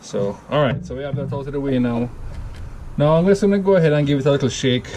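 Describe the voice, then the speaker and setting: A man with a Jamaican accent walks through a homemade recipe in a loose, rambling way, often stopping to correct himself. His voice sits at an ordinary middle pitch. A steady wash of background noise sits under the home recording. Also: fast talker, poor audio. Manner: rambling, self-correcting, easygoing. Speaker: home cocktail vlogger. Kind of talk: casual step-by-step recipe demo.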